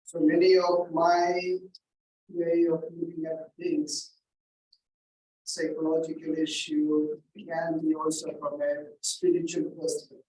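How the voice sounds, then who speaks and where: A male chaplain with an accent giving a deliberate teaching talk, putting questions to his listeners. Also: fairly high pitch, completely flat delivery, darkish tone. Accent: non-native English accent. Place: large echoey hall. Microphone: distant from the mic. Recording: echoey hall from far off, faint noise.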